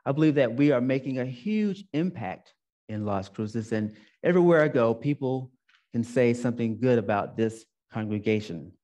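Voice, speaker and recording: A man's voice: medium pitch, lively intonation, normal speed, warm tone. A male minister giving a sermon, telling a personal story and repeating phrases for emphasis. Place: church sanctuary.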